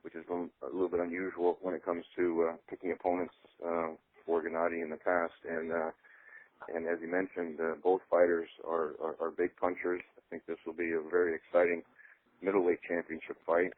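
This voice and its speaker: A man giving an unscripted promotional statement as a boxing promoter on a conference call, measured, halting and matter-of-fact. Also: low voice, completely flat delivery. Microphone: telephone conference call. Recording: dry, narrow telephone line.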